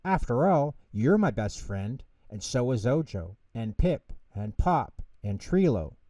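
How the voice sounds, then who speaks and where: Brief: man playing large caring bear for a children's tale; encouraging, unhurried, expressive pitch. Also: dry recording. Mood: reassuring. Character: big gentle male bear, patient, caring.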